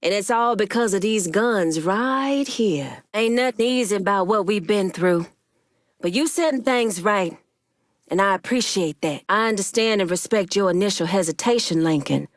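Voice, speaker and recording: An actress voicing a proud, commanding woman crime boss in a video game, with a firm, deliberate and authoritative delivery. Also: mid-range voice, animated, no echo, clean studio sound, close to an everyday voice. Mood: composed, assured.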